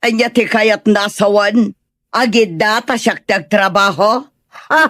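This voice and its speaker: A woman's voice: medium pitch, slow pace, fairly bright tone, dry recording, clean studio sound. A woman playing a bossy, unsympathetic middle-aged mother-in-law, domineering and dismissive, in an Ilocano audio drama. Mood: irritated, scornful.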